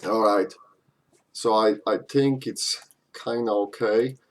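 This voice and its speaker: A man's voice: lowish voice, fairly even intonation, slow. Guy doing a live stream q&a, chatty, lots of uh and you know, kinda loose english. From Poland. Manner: loose and chatty, frequent "uh" and "you know", slightly non-native phrasing. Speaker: live-stream host answering viewer questions.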